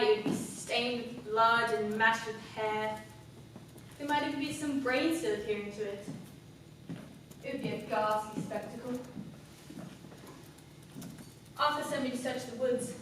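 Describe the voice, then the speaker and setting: A coldly self-possessed, cunning woman played by a young student actress delivers a stage monologue in a highish voice. Her delivery is formal, deliberate and dramatic. It is captured from a distance in a very echoey room with clear background noise. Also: close to an everyday voice. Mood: accusing. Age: young adult. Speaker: student actor. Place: classroom performance in front of class.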